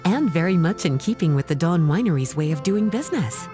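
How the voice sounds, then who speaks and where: A woman's voice: fairly low pitch, animated, a little fast, music in the background, close to an everyday voice. An actress playing a poised, intrigued young woman in a video game, speaking thoughtfully and articulately. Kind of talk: scripted game dialogue.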